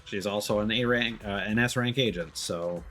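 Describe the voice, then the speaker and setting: Lower-voiced male game streamer. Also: moderate pace, fairly bright tone, soft background music. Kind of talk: live game commentary, reading on-screen text aloud.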